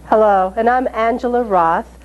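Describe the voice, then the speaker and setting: Measured woman from New York. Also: on the high side, highs cut off. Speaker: interpreter presenter. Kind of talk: recorded panel presentation.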